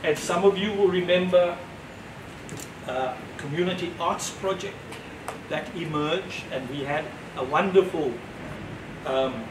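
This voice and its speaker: A man in his sixties giving a reflective, measured speech to an audience at a gallery opening, with pauses between phrases. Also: a little high. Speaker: speaker at an exhibition opening. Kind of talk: unscripted public speech. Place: gallery entrance, indoors facing an audience. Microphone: far camera, no mic seen. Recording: roomy, distant, noisy ambience.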